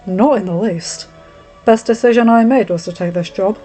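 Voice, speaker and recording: A woman voicing a reserved young lowborn male blacksmith who speaks tersely and bluntly. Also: moderate pace, warm tone, soft background music, lightly acted. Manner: terse, plain-spoken, matter-of-fact.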